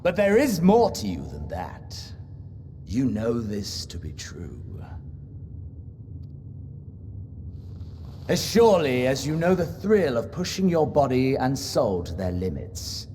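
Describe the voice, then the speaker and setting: An actor playing a battle-hungry, brooding warrior rival in a video game, with grave, theatrical, deliberate delivery and a gravelly voice. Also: male voice, mid-range voice, wildly swooping pitch, dark tone, a little hiss or hum. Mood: intense goading. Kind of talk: dramatic video game voice acting.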